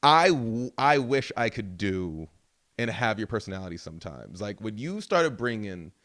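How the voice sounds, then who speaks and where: A man hosting a casual interview. He talks excitedly, full of slang, and often restarts his words. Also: on the low side, moderate pace. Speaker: podcast host.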